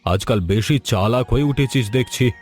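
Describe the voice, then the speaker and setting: A man's voice: medium pitch, normal speed, darkish tone, soft background music, lightly acted. A man voicing a haughty older rich landlord in a cartoon, pompous and scornful. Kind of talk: scripted cartoon dialogue.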